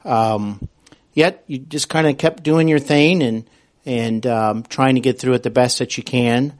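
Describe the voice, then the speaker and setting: A man hosting a conversational interview, feeling his way through questions with halting, repeated starts. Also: medium pitch. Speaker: podcast host, interviewer.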